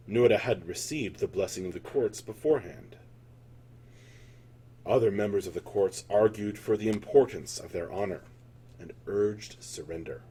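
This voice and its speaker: A man's voice: lowish voice, dark tone, breathy edge. A man narrating a scripted fantasy tale as a storyteller, in a solemn, theatrical manner.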